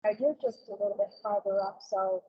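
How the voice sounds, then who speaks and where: A woman's voice: medium pitch, steady tone, fairly quick, slightly rough, no room sound. A woman who is a business association member, talking informally in a meeting discussion on a muffled recording.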